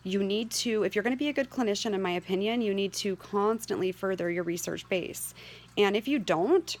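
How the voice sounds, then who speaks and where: A middle-aged woman with a hoarse voice, giving earnest, candid personal testimony to camera as a patient advocate. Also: mid-range voice, a little fast. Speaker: patient advocate sharing her medical story.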